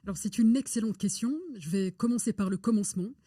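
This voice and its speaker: Mellow, articulate woman interview guest. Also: mid-range voice, fast, no room sound, clean studio sound. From born in Morocco. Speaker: interview guest, public affairs and rhetoric consultant. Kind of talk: conversational storytelling in an interview.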